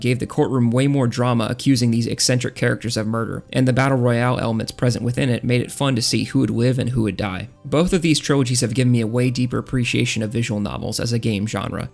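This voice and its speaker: Fast-talking man with a slightly creaky voice. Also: medium pitch. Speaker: video essayist reviewing video games. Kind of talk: scripted narration, casual opinionated review.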